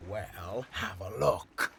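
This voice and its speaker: A gravelly, swaggering pirate. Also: male voice, on the low side, extremely slow, background noise, exaggerated voice. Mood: alert, urgent. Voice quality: gravelly, raspy. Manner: theatrical, swaggering. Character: bold, bloodthirsty pirate gentleman shipmate missing a limb. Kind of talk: cinematic nautical audio roleplay acting.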